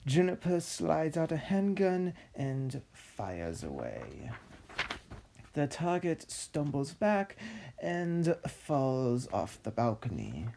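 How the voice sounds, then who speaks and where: A man's voice: fairly high pitch, mellow, slight background noise, heavily acted. A man playing a snobbish, pompous narrator who presents scripts in a fussy, droning manner. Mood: pompous, storytelling. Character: snobbish, self-deprecating stuffy narrator of cancelled film scripts. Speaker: series host and narrator.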